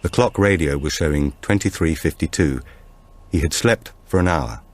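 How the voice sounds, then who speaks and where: Low, husky male thriller narrator. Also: expressive. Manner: measured, suspenseful storytelling. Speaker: audiobook narrator. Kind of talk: dramatic scripted narration of a thriller.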